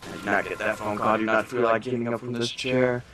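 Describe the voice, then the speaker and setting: Young male channel host, lowish pitch, casual jokey gameplay commentary. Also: steady tone, quick pace, a crowd in the background. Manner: chatty, jokey, reacts to the game.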